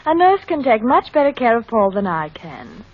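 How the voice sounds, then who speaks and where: A woman's voice: a little high, very animated, normal speed. An actress playing a restless, self-centered wife and reluctant mother in an old-time radio drama. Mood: peevish, defensive. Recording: muffled old radio recording.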